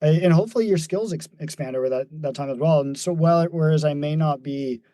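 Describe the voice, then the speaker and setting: A man's voice: on the high side, a little fast, mellow, no echo. A man in his forties, a podcast guest, talking reflectively in casual conversation, with many fillers and restarts. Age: 40s. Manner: thoughtful, many fillers and restarts, laughs easily. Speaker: podcast guest, mountain runner.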